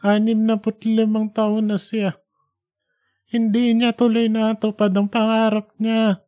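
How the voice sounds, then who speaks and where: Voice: mid-range voice, completely flat delivery, measured pace, warm tone, voice pushed up, natural voice. A performer plays an elderly, devout father in an audiobook drama. The voice is lifted above the narrator's, pleading and full of remorse. It stays tender and emotional. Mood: remorseful, pleading.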